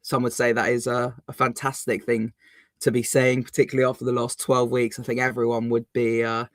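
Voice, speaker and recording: Casual fan-podcast co-host, man, croaky and gravelly, even-toned. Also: medium pitch, fairly quick, no echo, clean studio sound. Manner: chatty, lots of ums and restarts. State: slightly croaky voice. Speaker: co-host of a football fan channel.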